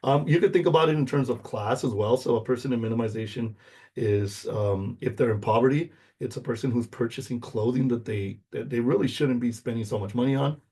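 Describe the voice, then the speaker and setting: Middle-aged man lecturing in a mellow, husky voice. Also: mid-range voice, fairly quick. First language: Spanish. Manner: explanatory, checks in with "okay?" and "right?". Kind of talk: informal recorded lecture.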